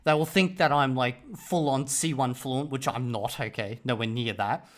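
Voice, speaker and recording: A man's voice: medium pitch, normal speed, fairly bright tone. A man giving casual reaction commentary on a video, blunt and profane, with laughing asides.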